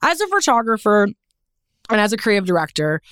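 A woman's voice: medium pitch, big pitch swings, a little fast, a bit bright, smooth, dry recording. A woman from Newfoundland with a Canadian accent, chatting candidly and emphatically as an interview guest in a studio. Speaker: guest, fashion photographer and creative director. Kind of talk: casual chat interview.

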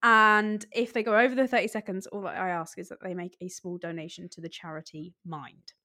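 A woman's voice: mid-range voice, fairly even intonation, brisk, pushed and bright. Upbeat woman podcast host. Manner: upbeat, encouraging. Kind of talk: casual interview chat.

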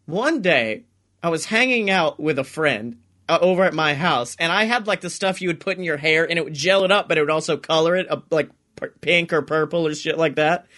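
A man with a high, forceful voice chats casually as a podcast co-host. He is excitable and giggly, swears freely and spins comic stories. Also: brisk. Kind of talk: casual comedic chat and storytelling.

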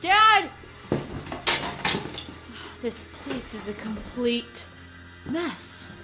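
An actress playing a young boy's exasperated, anxious mother in a horror audio drama, expressive and exclamatory. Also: a little high, extremely slow, over music, exaggerated voice. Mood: puzzled, uneasy.

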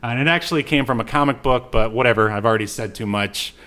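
A man's voice: medium pitch, fast talker, pushed and bright. A man hosting a podcast in casual chat, with an easygoing, rambling way of talking. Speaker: podcast host.

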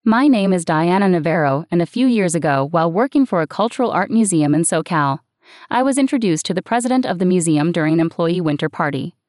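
A woman with a slightly low voice gives a prepared personal account as an artist. The sound is dry, with very little room around her. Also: lively intonation, fast talker, darkish tone, no room sound. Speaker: artist telling a personal story.

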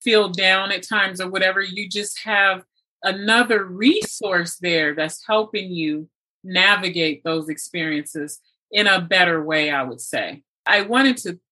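A woman's voice: fairly low pitch, a little slow, sharp tone, poor audio. A woman hosting a conversational interview about faith, speaking in an affirming, agreeable way. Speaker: host interviewing a guest.

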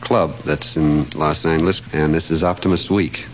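A man's voice: very deep voice, fairly even intonation, moderate pace. An American man in his twenties from California, answering a quiz host's questions as a contestant. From California, USA. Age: 20s. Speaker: contestant, optometrist. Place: radio studio with audience. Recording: muffled old radio recording, noisy studio crowd. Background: loud audience laughter, noise.

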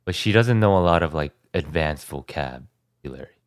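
Young american guy, super deep smooth voice, rambly laid-back chat, dry close sound. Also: moderate pace, no room sound. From United States. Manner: relaxed, rambling, frequent restarts and fillers. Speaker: podcast guest. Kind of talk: casual conversation.

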